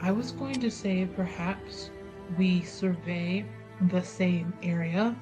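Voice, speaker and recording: A woman playing in a tabletop game, chatty and giggly, thinking her plans out loud. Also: on the low side, slow pace, over music. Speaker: player. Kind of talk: tabletop roleplay chat.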